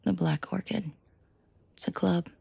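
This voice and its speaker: A woman playing a commanding, protective and seductive mafia boss in an intimate audio roleplay, speaking in a breathy, controlled murmur that often drops to a half-whisper. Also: fairly low pitch, fairly even intonation, warm tone, dry recording, heavily acted. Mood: calm, reassuring. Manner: slow, deliberate, controlled murmur addressed closely to listener.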